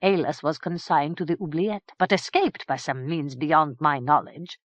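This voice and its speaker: An audiobook narrator voicing a sly, scheming priest who talks in a smooth, ingratiating way. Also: medium pitch, normal speed, no echo, lightly acted. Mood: confiding. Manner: smooth, ingratiating, bleating when alarmed.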